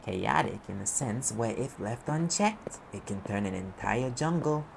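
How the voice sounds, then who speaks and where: Man acting a gravelly, sarcastic private eye. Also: fairly low pitch, very animated, measured pace, noisy background, exaggerated voice. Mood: wry, explaining. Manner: sarcastic, exasperated, conversational explaining. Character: sarcastic male private eye mage, impatient and wisecracking. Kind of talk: audio roleplay acting, one side of a dialogue.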